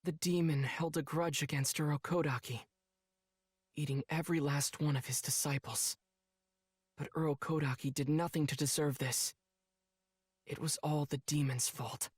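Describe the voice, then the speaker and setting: Earnest young swordsman, husky voice. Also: fairly high pitch, fairly even intonation, normal speed, dry recording, clean studio sound. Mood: sorrowful, compassionate. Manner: earnest, reflective. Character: earnest, compassionate teenage boy swordsman recalling his trials. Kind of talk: scripted narration recounting memories.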